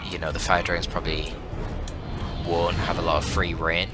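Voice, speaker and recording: A man's voice: sits low, level tone, moderate pace, heavy background noise. A man giving live strategy game commentary as a caster, analytical and conversational with casual swearing. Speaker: esports caster and channel host.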